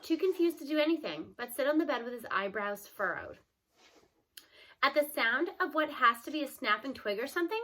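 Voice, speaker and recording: Bright, high-voiced woman reading aloud. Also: low-quality recording. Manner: expressive, with lively shifts in tone. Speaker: reader.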